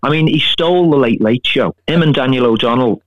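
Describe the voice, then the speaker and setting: A man's voice: medium pitch, a little fast, dry recording. Middle-aged Scouse man, chatty radio guest, rambling anecdotes, dry and slightly muffled studio sound. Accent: Liverpool Scouse. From Liverpool, England. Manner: chatty anecdotes, many fillers like "sort of". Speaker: guest, writer and performer. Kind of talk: radio interview, storytelling.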